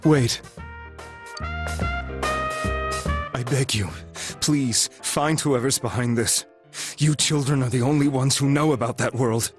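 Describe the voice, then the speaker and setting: An actor playing a remorseful, weary man in a video game, speaking in a subdued, halting, earnest way. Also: mid-range voice, wildly swooping pitch, over music. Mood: pleading. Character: remorseful, weary male ex-political hopeful confessing his crimes. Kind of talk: English game voice acting.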